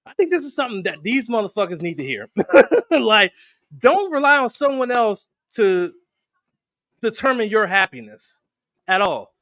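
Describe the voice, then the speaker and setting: A man's voice: high voice, big pitch swings, normal speed, pauses often, fairly bright tone, no room sound, studio quality. A man in his forties co-hosting a podcast in casual chat, blunt and profane. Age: 40s. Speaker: podcast co-host.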